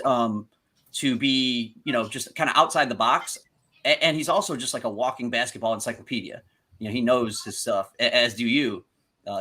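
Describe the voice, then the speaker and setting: A man's voice: medium pitch, pushed and bright, slightly rough. A young man hosting a live stream, chatting enthusiastically and praising his guest. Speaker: stream host. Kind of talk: casual live stream chat.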